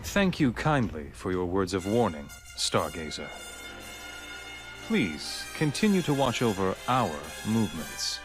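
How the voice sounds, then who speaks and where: A man playing a composed, authoritative director in a video game, speaking in a formal, measured, polite and guarded way. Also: on the low side, wildly swooping pitch. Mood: cool, guarded. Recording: background music under the voice.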